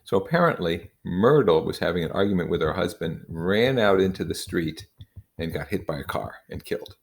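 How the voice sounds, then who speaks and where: A man reading a novel aloud in a measured, informal way, leaning on certain phrases for emphasis. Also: low-pitched, expressive, vocal fry, a little hiss or hum. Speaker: reader.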